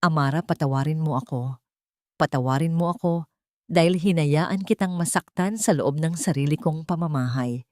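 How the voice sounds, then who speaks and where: A woman's voice: deep voice, normal speed, darkish tone, dry recording, studio quality, close to an everyday voice. A woman voicing a remorseful young man in love in an audio drama, pleading earnestly. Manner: pleading, emotive.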